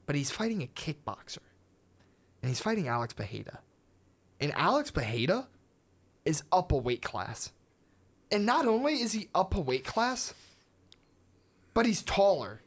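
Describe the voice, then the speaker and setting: A woman's voice: lowish voice, slightly rough. A woman from the United States co-hosting a sports podcast, chatty and playfully teasing her co-host. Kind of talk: casual sports podcast chat.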